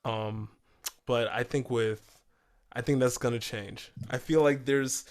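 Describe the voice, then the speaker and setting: Tentative man with a mid-pitched voice. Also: fairly even intonation, slow. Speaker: podcast co-host. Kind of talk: casual podcast chat.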